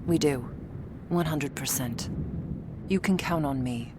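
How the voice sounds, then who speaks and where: Woman acting a very deep-voiced vampire henchwoman. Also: takes it slowly, background noise. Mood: earnest. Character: loyal female vampire henchwoman, wary and deferential to her boss. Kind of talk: ASMR roleplay acting.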